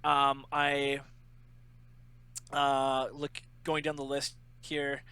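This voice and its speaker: A man's voice: mid-range voice, monotone, extremely slow, sharp tone, clean tone. A man co-hosting a podcast, chatting casually in a joking, sarcastic way. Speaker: podcast co-host. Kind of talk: casual chat.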